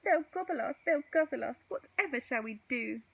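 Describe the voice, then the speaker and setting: A woman's voice: high voice, animated, brisk, vocal fry, breathy edge, no echo, muffled recording, voice pushed up, heavily acted. A woman voicing a fretful, helpless small boy in a children's storybook, with a dramatic wail. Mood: panicked. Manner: wailing, dramatic.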